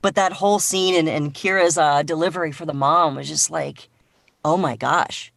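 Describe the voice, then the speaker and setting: A woman's voice: deep voice, fairly even intonation, moderate pace, vocal fry, dry recording, clean studio sound. A woman on a panel, chatting casually in a gushing, enthusiastic manner.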